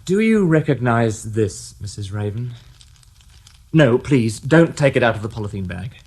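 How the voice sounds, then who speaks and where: A man's voice: medium pitch, sing-song, normal speed, a little mellow, slightly rough. An actor playing a firm, sardonic male detective inspector in a radio drama.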